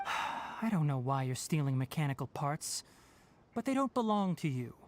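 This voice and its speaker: An actor playing a calm, analytical young male forest watcher in a video game, measured, precise and businesslike. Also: a little high, background noise. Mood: thoughtful. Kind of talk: scripted video game dialogue. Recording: game music behind the voice.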